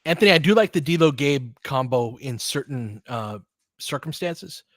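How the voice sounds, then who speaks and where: Male basketball commentator, mid pitch, dry close sound, casual host style with clipped play-by-play. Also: expressive, moderate pace, no echo. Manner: clipped, fragmentary play calls. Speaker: host doing fan play-by-play of a basketball game. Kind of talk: live sports commentary mixed with casual chat.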